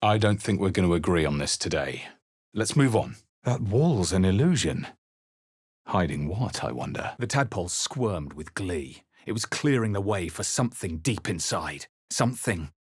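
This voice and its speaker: A brooding fantasy adventurer for a video game. The voice sits very low with a husky grain, recorded dry and close. Delivery is measured, intense and theatrical. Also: male voice, very animated, no room sound, heavily acted. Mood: wary, musing. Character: male fantasy adventurer hero, brooding, wary, resolute. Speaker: player character voice. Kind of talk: scripted video game voice lines, dramatic narration.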